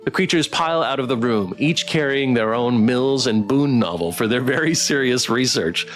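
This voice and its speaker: A man streaming a visual novel, reading it aloud dramatically and adding playful, expressive commentary. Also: medium pitch, normal speed, soft background music.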